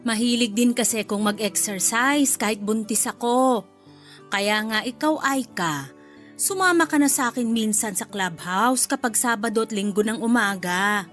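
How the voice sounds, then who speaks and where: A woman's voice: a little high, moderate pace, fairly bright tone, soft background music, close to an everyday voice. A woman playing a cheerful, pushy, youthful mother-in-law in a radio drama. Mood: cheerful coaxing.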